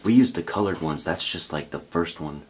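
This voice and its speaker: Low-voiced young man exploring ruins. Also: normal speed, very noisy. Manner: excitable, chatty, thinks aloud. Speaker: urban explorer host. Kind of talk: casual exploring vlog. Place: abandoned newspaper building indoors.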